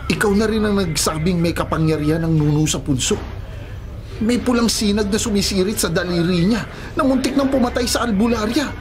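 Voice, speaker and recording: A man's voice: sits high, brisk, lightly acted. Worried, cautioning male buddy played by an actor. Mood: apprehensive. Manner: apprehensive, cautioning. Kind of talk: Filipino radio drama acting. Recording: dramatic music under the voice.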